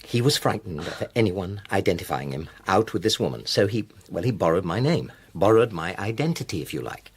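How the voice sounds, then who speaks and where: Troubled husband, deep husky voice. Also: male voice, big pitch swings, heavily acted. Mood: anxious, confessing. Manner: hesitant, halting. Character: troubled, gentle husband and father with bad news. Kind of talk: radio drama acting.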